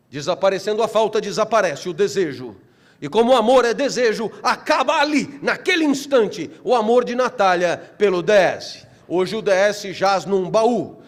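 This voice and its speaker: Brazilian guy in his 40s-50s lecturing on philosophy, kinda high voice, dramatic rhetorical questions, repeats stuff for punch. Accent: Brazilian Portuguese. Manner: theatrical, with rhetorical questions and emphatic repetition; slow deliberate phrasing that builds to loud animated peaks.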